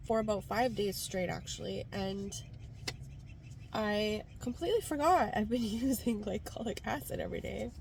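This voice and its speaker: A woman's voice: medium pitch, vocal fry. Female vlogger from Canada, congested, chatty and informal, recorded in a car with light ambient noise. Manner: informal, rambling, frequent "like". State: congested from a cold. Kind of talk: casual chatty car vlog. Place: sitting inside a car.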